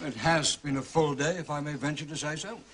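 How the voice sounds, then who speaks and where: An actor playing a deferential, unflappable English manservant in a period radio drama, speaking formally with measured, discreet politeness. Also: male voice, on the high side, a bit bright, a little hiss or hum, lightly acted.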